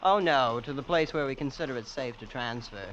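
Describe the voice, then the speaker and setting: An actor playing a smug young heir who boasts of his family's wealth in a calm, matter-of-fact way, in 1940s radio acting. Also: mid-range voice, fairly quick, a little hiss or hum, lightly acted. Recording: dull old radio recording.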